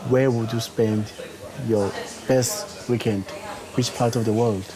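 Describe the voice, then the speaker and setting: A man's voice: medium pitch, steady tone, slow, crowd noise. A man with a South African accent in casual conversation as a documentary participant. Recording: loud music behind speech.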